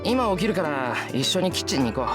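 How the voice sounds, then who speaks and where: Earnest teenage schoolboy over music. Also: male voice, fairly high pitch, very animated, racing. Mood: sleepy, apologetic. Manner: casual, considerate. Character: earnest, kind teenage schoolboy, casual and modest. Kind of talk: Japanese visual novel voice acting.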